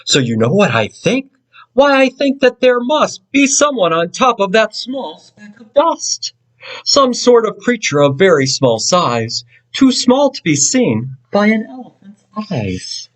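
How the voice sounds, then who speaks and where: A man voicing a kind, gentle male elephant in a storybook read-aloud, speaking earnestly and protectively. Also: high voice, measured pace, voice pushed up. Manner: earnest, steady and caring.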